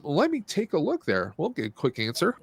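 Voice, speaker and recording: A man's voice: fairly high pitch, wildly swooping pitch, fairly quick, slight background noise. A man hosting a casual movie review stream, talking in a rambling, conversational way and often rephrasing himself. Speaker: podcast host.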